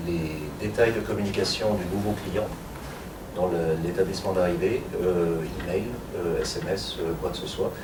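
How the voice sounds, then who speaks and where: A man presenting a technical workshop to a room of attendees, explaining step by step with frequent fillers and light asides. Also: sits low. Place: conference room. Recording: echoey room from far off, audible noise.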